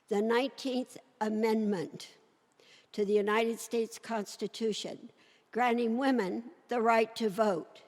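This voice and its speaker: A woman reading a scripted introduction to a stage presentation in a measured, formal way, recorded in a reverberant hall. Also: fairly high pitch. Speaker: presenter introducing a readers theater performance. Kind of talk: scripted introduction read aloud. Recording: echoey hall with long reverb.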